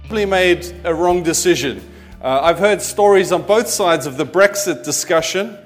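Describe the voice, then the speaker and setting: A man's voice: high-pitched, normal speed, faint music behind. A South African man in his forties, a pastor giving a conversational sermon with personal stories and self-deprecating asides. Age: 40s.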